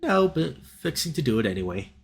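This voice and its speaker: A man's voice: mid-range voice, big pitch swings, a little fast, creaky, slightly rough, full character voice. A man voicing a nervous, earnest young otter filmmaker in a visual novel, with a hesitant, folksy manner. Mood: jittery.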